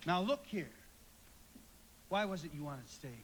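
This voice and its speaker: A man's voice: fairly high pitch, sing-song, normal speed, a little hiss or hum. An actor playing a despairing, sardonic family man in a live radio play, wry and weary, sometimes stammering.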